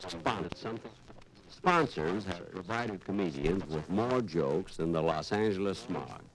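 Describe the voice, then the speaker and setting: A man's voice: deep voice, expressive, unhurried, a crowd in the background, low-quality recording. A man delivering scripted narration as the host of a radio program.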